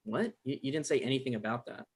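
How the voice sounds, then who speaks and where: A man acts out an anxious, defensive man in a drama read. His delivery is naturalistic and flustered, full of stammers and apology, at an ordinary middle pitch. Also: fast talker, husky, natural voice. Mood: flustered, apologetic. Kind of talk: live table read acting.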